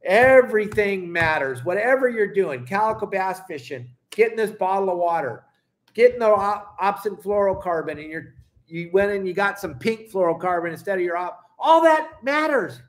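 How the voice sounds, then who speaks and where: Middle-aged man, seasoned fishing guide, casual and emphatic lesson to camera, slightly high voice, recorded at home. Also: animated, moderate pace. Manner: folksy and emphatic, addresses viewers as gang. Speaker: fishing guide and boat captain. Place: indoor room at home.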